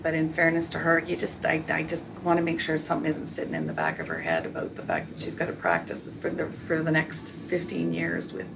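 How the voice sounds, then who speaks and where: A woman's voice: sits low, fairly quick, gravelly, hardly any pauses. A woman talking frankly and colloquially in a casual conversation. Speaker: former Crown prosecutor. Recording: distant mic, noisy ambience.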